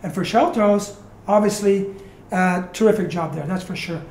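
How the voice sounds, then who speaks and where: A host interviewing a guest on a community TV show, in a warm, friendly manner. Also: mid-range voice, normal speed. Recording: echoey room.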